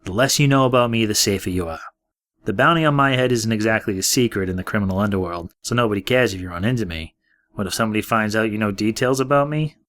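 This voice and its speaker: A man playing a gruff, guarded, hot-tempered young fugitive in an audio drama, blunt and profane. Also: fairly low pitch, fast talker, a bit bright, natural voice. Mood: tense, guarded.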